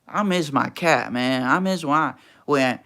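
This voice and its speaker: A man's voice: fairly high pitch, a little slow, bright, forceful tone. A young man from Texas, hosting a casual livestream and answering chat in a laid-back, slangy, teasing way. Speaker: livestream host reading chat.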